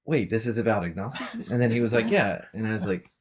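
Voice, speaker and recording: A man with a very deep voice speaks as a podcast co-host. He talks casually and anecdotally, acting out bits of quoted exchanges and swearing offhandedly. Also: quick pace. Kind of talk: casual conversation.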